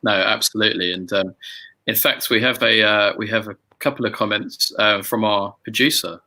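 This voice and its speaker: A man with a fairly low but bright, forward voice. He talks casually as a musician in a group chat, feeling his way through sentences with repeated words and hesitations. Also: fairly even intonation, normal speed, rough recording. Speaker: musician and song arranger hosting a group chat. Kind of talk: casual conversational chat about music-making.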